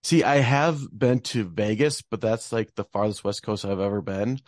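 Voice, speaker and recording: Friendly host with a dry, close sound. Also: male voice, on the low side, normal speed, no room sound. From Minnesota. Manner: friendly, brief, laughs often. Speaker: podcast host. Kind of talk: casual interview hosting.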